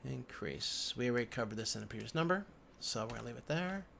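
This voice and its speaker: Gravelly man thinking aloud through a tutorial. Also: mid-range voice, animated, fast, a bit bright. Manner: thinks aloud, murmuring to himself. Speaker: instructor giving a software tutorial. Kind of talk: casual unscripted screen-recorded walkthrough. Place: indoors at a computer. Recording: background noise, keyboard typing and clicks. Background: keyboard typing and mouse clicking.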